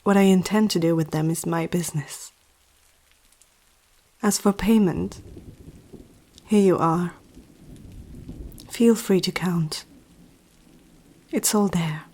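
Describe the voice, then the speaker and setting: A woman playing a powerful, seductive, possessive vampire aristocrat in an ASMR roleplay, speaking softly and often whispering, with a teasing, regal menace. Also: lowish voice, slow, pauses often. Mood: cool, composed. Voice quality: soft, breathy, often dropping to a whisper. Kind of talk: ASMR roleplay, intimate slow scripted monologue.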